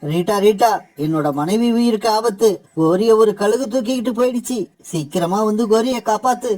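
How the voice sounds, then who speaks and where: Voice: medium pitch, a little fast, a little mellow, natural voice. Someone voicing a proud male cartoon crow. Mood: urgent. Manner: pleading, urgent. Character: proud male crow who turns desperate. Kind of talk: cartoon voice acting.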